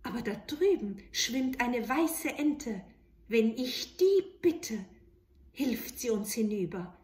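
A woman's voice: fairly high pitch, lively intonation, slow, a little mellow, roomy sound, voice pushed up. A woman voicing a plucky, cunning young girl in a fairy tale, earnest and pleading, given to exclaiming.

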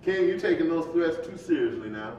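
A man's voice: animated, a little fast, darkish tone, lightly acted. A man in a staged reading playing a jovial, impatient preacher who chides his friend in folksy banter. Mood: chiding. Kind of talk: staged play reading, conversational dialogue. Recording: dull, echoey, distant hall with rumble.

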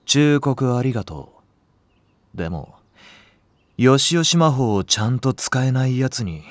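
A man's voice: medium pitch, animated, measured pace, no echo, close to an everyday voice. A man voicing a lazy, sardonic young nursery teacher in a voice drama, languid and teasing. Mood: nonchalant, teasing. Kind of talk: Japanese voice drama acting.

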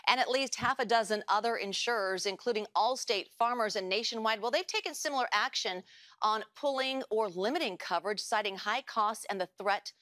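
A woman's voice: medium pitch, brisk, no echo. Polished female news anchor, dry studio sound. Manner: polished, even delivery. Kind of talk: scripted news reading.

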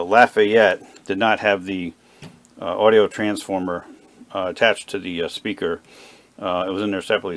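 A man with a deep voice talks through a hobby repair at his workbench. His delivery is unscripted and halting, with hesitations as he works things out aloud. He sounds like a hobbyist demonstrating hands-on work. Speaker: hobbyist radio repairer presenting his own video. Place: indoor workbench.

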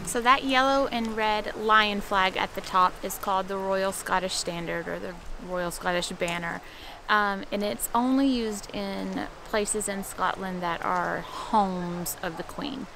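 A woman's voice: medium pitch, measured pace, vocal fry. A woman in her thirties talking to a handheld camera in a casual travel vlog, chatting through plans and practical tips for viewers. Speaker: travel vlogger. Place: in a car and outdoors at castles. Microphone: camera held at arm's length.

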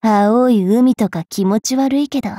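A haughty young fairy knight from an anime game. She speaks slowly in a fairly high, soft-toned voice, teasing and imperious, in a dry close recording. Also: no room sound. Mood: teasing, casual. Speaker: voice actress. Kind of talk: anime mobile game character voice lines.

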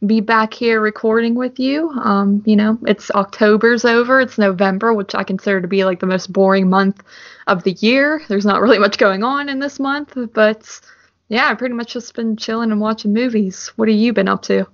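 A woman co-hosts a film podcast in a casual, laid-back way. Her voice sits at a middling pitch, and the recording is slightly dull. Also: steady tone, moderate pace. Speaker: podcast co-host.

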